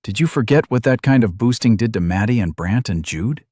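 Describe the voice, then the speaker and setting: A man's voice: fairly low pitch, lively intonation, a little fast, a little mellow, dry recording, studio quality. A man voicing a weary young male healer who answers defensively and tiredly in an audiobook. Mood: frustrated.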